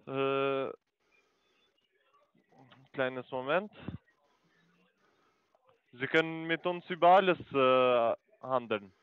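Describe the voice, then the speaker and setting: A man with a foreign accent, speaking German as a call center agent on the phone, halting with many fillers and broken grammar. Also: mid-range voice, clear, resonant tone, no room sound, phone-quality sound.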